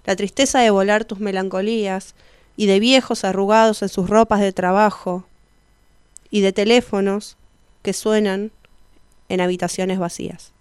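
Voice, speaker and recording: Clear-voiced woman reciting poetry, dry studio sound. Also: medium pitch, fairly even intonation, normal speed, darkish tone, no room sound. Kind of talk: poetic reading of translated song lyrics.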